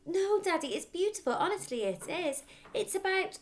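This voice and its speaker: Storybook little girl, played by a woman: polite, sweet, earnest, pitched high with very lively swings. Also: moderate pace. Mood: earnest pleading. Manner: pleasant, pleading, eager.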